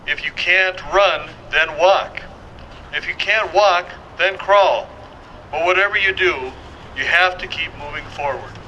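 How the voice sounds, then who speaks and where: A man's voice: sits high, unhurried, pushed and bright. A man reading a quotation aloud at a public event. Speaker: quote reader at event. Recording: dull sound, faint outdoor noise.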